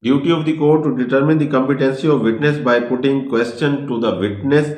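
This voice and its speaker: Slow-talking Pakistani man lecturing, echoey room. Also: mid-range voice, steady tone, a little mellow. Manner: deliberate, formal, switching between English and Urdu. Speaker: law lecturer. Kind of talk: scripted legal lecture, reading out points.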